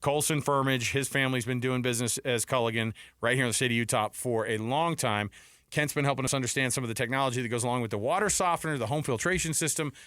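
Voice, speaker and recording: A man's voice: medium pitch, quick pace, fairly bright tone, smooth, no echo. A man hosting a sports talk show in a studio, informal and bantering, moving between chat and sponsor reads. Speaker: sports radio host. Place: radio studio. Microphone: close studio microphone.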